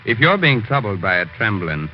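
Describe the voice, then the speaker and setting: An actor playing a mischievous, macabre host of a 1940s radio horror show, with a tongue-in-cheek, hesitating delivery. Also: male voice, lowish voice, sing-song, normal speed, soft background music. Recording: muffled 1940s radio recording.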